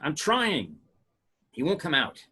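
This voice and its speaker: Frustrated, anxious scientist, acted by a man: sharp, husky, wildly swinging pitch, amateur superhero script read. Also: huge pitch swings, slow pace, heavily acted. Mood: worried. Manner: frantic, strained. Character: anxious, flustered male scientist, frustrated with himself.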